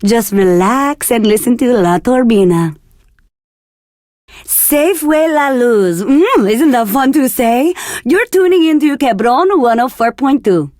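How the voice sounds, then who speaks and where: Flirtatious radio DJ from Argentina for a game: high, breathy, teasing, very dry close sound. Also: female voice, animated, takes it slowly, a little mellow, no room sound. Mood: sultry. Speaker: radio DJ host. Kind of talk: scripted radio DJ patter for a video game.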